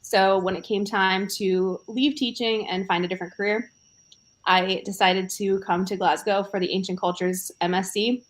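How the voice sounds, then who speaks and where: A woman's voice: medium pitch, steady tone, normal speed, poor audio. Upbeat American woman from Ohio. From Ohio, United States. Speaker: student panelist. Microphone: video call.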